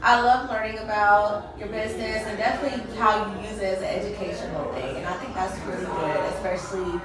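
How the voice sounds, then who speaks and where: A woman's voice: medium pitch, fairly quick. A woman hosting a live interview at a mixer, upbeat and affirming, often repeating yes and definitely. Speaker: host. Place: busy event venue. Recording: echoey room, distant mic, crowd chatter.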